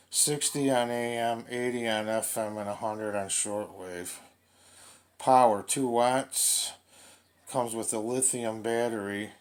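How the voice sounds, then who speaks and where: A man giving casual, unscripted unboxing commentary as a gadget reviewer, halting and thinking aloud as he goes. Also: lowish voice.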